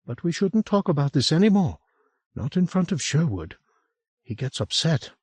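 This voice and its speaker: A man's voice: a little high, very animated, moderate pace, husky, dry recording, voice pushed up. A man voicing a chatty middle-aged woman. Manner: lilting and chatty, drops to a hush. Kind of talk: audiobook character voice.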